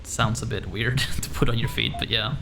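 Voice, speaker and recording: A young man with a Dutch accent chatting casually while streaming a game, often laughing and filling pauses with "um" and "like". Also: fairly low pitch, fairly even intonation, creaky, background noise. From Netherlands. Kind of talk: casual live-stream chat while gaming. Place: echoey room. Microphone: desk microphone. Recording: room echo with game music behind.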